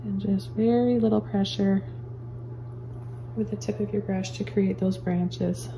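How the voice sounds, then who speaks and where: A woman calmly talking viewers through painting step by step, as a painting teacher in her studio. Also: mid-range voice, a little slow, a little hiss or hum, rough recording. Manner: calm, gentle step-by-step instructions. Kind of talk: real-time painting tutorial. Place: indoor studio at an easel.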